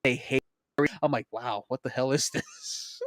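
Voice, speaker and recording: A man co-hosting a casual podcast, talking excitedly, laughing often and swearing freely. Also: medium pitch, measured pace, no room sound, studio quality. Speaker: podcast co-host. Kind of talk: casual chat.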